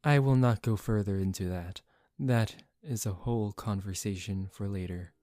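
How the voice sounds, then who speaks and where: A man playing a composed young aristocratic vampire in a soft-spoken ASMR roleplay, calm, formal and gently commanding, protective yet secretive. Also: fairly low pitch, normal speed, no echo, clean studio sound, close to an everyday voice. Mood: guarded, calm.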